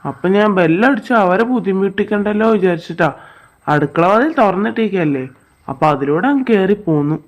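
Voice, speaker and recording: A woman's voice plays a cartoon father chatting with his children. The delivery is casual and explanatory, at a middling pitch for a female voice, in the manner of homemade family comedy dialogue. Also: expressive, brisk, lightly acted.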